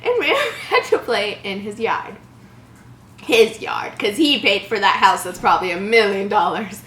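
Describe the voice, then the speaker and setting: A woman's voice: mid-range voice, lively intonation, measured pace, a bit bright. A woman hosting a channel, reading a horror story aloud with giggly, stumbling asides. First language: English. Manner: giggly, stumbles over words. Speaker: channel host. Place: echoey indoor room. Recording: echoey room.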